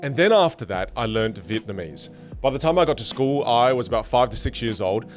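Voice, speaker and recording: A man whose first language is Teochew, teaching communication skills in an online English lesson with an animated, jokey delivery. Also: mid-range voice, fairly quick, soft background music.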